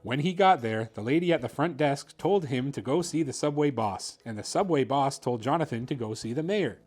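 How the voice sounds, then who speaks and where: A man's voice: mid-range voice, expressive, normal speed, a little mellow. A man hosting a story time, narrating a children's picture book expressively. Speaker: host reading children's picture books.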